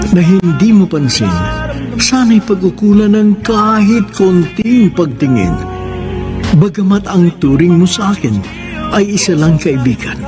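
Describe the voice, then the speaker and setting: A Filipino man hosting a radio drama, introducing it in a formal, sentimental and theatrical scripted style. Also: sits high. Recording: music bed behind the voice. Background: loud background music.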